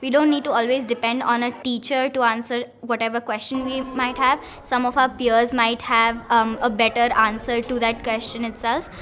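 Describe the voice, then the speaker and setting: A young female student speaks in a slightly high, quite even voice. She answers as in an interview, with faint ambient noise around her. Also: moderate pace, few pauses. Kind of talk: interview testimonial.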